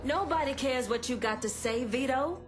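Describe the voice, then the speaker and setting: An actress playing an assertive, ambitious underworld boss in a video game, folksy and cutting. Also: female voice, high voice, moderate pace, soft background music, lightly acted. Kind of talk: dramatic video game dialogue.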